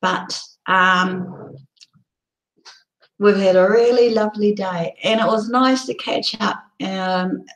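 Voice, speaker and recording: A woman with a croaky, hoarse voice, hosting a casual live broadcast and rambling with many ums. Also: mid-range voice, takes it slowly, roomy sound, poor audio. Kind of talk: casual live-stream chat.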